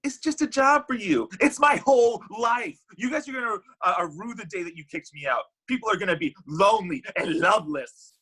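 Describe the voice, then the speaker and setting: Guy doing a bitter defensive love god for a comedy read, bright forceful voice, kinda raspy, exasperated. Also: high-pitched, animated, a little fast, full character voice. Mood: bitter, outraged. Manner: exasperated, indignant, stammering. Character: Cupid, bitter, defensive, heartbroken male matchmaker god. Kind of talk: comedy script table read.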